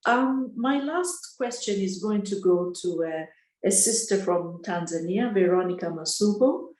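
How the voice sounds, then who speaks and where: A woman moderating a discussion, polite and measured, with some uh fillers. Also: mid-range voice, expressive, darkish tone. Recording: echoey room.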